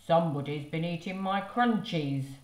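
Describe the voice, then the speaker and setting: A woman's voice: lowish voice, normal speed, darkish tone, lightly acted. A woman voicing an emphatic father in a children's storybook, making firm declarations. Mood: indignant. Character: storybook father, adult man, emphatic head of family. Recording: roomy echo.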